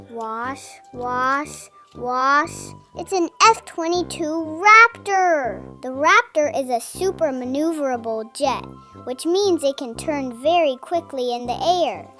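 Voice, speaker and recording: High-pitched woman narrating for young children. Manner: simple, playful presenting with questions and exclamations. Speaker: narrator of a children's learning video. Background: children's background music.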